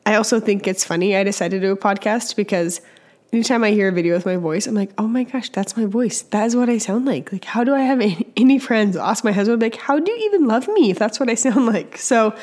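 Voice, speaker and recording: A young woman with a slightly hoarse, scratchy voice from a cold, hosting a casual educational podcast and chatting with lots of fillers. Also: medium pitch, expressive, quick pace, darkish tone. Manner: chatty, with many fillers and restarts. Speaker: podcast host and early childhood educator.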